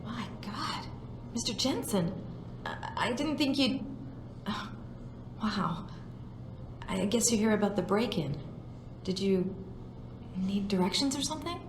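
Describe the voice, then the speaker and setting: A woman's voice: mid-range voice, lively intonation, unhurried, pauses often, reverberant, background noise. A woman playing a nervous, deferential office receptionist in a video game, hesitant and stammering. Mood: flustered. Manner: flustered, stammering.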